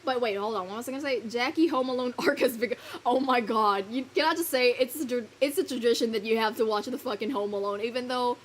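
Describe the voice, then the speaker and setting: A woman's voice: on the high side, fast talker, hardly any pauses. A woman streaming live, chatting loosely with her viewers, laughing often, swearing casually and fumbling her lines. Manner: giggly, rambling, swears casually. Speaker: virtual streamer.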